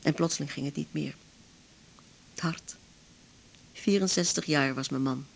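An older Dutch widow with a low voice for a woman. She is lonely and tense, and confides haltingly in the natural style of an eighties radio play. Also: creaky, slight background noise. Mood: bitter, grieving. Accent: Netherlands Dutch. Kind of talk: naturalistic 1980s radio drama acting.

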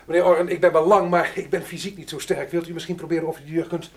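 A man acting a pompous, self-important Canadian gentleman with English airs in a radio play, speaking in flowery, theatrical phrases. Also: fairly high pitch, flat delivery, fast talker, breathy edge. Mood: grandiose, brisk.

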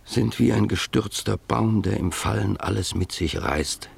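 An actor playing a stern, accusing man in a radio drama, gravelly and husky, solemn and rhetorical. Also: lowish voice, measured pace, heavily acted.

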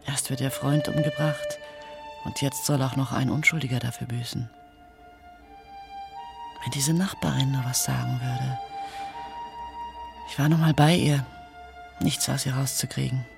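An actor playing a weary, sleepless, melancholy man with a creaky voice in an introspective radio drama monologue. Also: mid-range voice.

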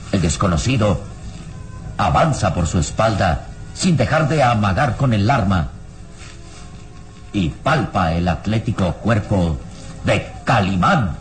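An actor narrating an adventure radio serial in a solemn, suspenseful, dramatic style. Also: male voice, on the low side. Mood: tense. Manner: suspenseful, solemn, measured phrasing. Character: omniscient suspenseful adventure storyteller.

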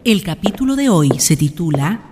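A woman narrating a historical radio drama in a measured, literary, solemn manner. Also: on the low side, sing-song, background noise. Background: background music.

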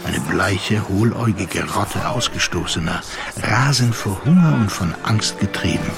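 Male radio play narrator, fairly low pitch, dramatic literary storytelling over a loud music bed. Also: animated, a little slow, vocal fry.

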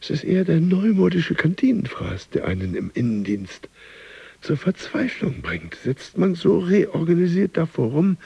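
An actor playing a disgruntled, neurotic office worker who broods and mutters in a radio drama monologue. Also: male voice, a little high, creaky, darkish tone, husky, no echo, full character voice. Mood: brooding. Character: disgruntled male office worker, neurotic, grumbling about body and cake. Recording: dull studio sound, faint music behind.

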